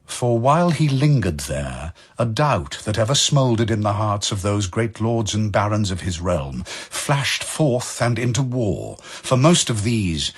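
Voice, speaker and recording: A man giving a scripted dramatic narration of verse, solemn and measured like a storyteller. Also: on the low side, big pitch swings, husky.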